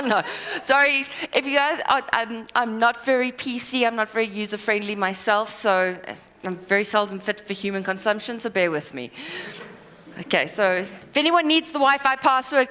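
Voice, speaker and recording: A woman giving a live conference talk, rambling and self-deprecating with quick asides and apologies. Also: a little high, pushed and bright, slight background noise. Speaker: conference speaker. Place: conference hall. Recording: hall reverb.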